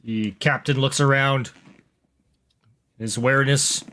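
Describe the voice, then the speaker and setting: Mid-pitched man narrating game moves. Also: fairly even intonation, measured pace, sharp tone. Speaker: player. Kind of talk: tabletop roleplay, third-person action narration.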